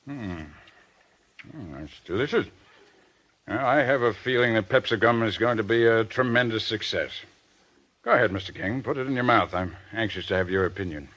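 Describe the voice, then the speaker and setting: An actor playing a genial, eager company boss in a 1940s-style radio drama, jovial and fawning, at times flustered and hesitant. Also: male voice, lowish voice, moderate pace, fairly bright tone. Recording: muffled old radio drama recording.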